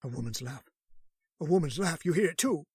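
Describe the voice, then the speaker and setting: An actor playing an older police sergeant and grieving uncle in a radio drama, earnest and pleading. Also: male voice, on the high side, lively intonation, a little fast, slightly rough, no echo, clean studio sound. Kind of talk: old-time radio drama reading.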